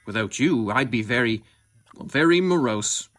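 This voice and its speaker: A man's voice: mid-range voice, expressive, unhurried, a bit bright. Man playing an earnest, formal Martian king. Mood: fond, earnest. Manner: formal, stately. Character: earnest, solemn, kindly but dim Martian king. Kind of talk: comic sci-fi radio play acting.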